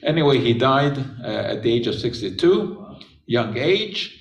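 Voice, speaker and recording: A man giving an unscripted science talk, unhurried, with frequent pauses and filler words. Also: mid-range voice, very animated, vocal fry, muffled recording. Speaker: chemistry lecturer. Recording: echoey room, voice from a distance.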